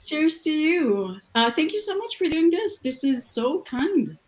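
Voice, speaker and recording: A woman's voice: high-pitched, very animated, measured pace, a little mellow. A woman from Montreal with a French Canadian accent, chatting giggly and friendly as a fan on a video call. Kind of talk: casual chat.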